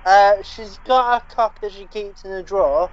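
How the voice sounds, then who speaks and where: A man trades dry, teasing remarks and quick asides in a casual comedy chat. His voice is muffled and slightly dull in the recording. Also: sits high. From Liverpool, England.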